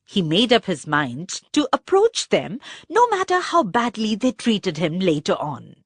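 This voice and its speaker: A woman narrating a children's story from a script in an expressive, deliberate storybook manner. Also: medium pitch, husky, dry recording.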